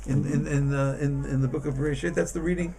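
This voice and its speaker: A man giving an informal Torah class as a rabbi, in a halting, emphatic manner that often repeats words. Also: on the high side, fairly even intonation, vocal fry, dark tone. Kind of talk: informal religious lesson.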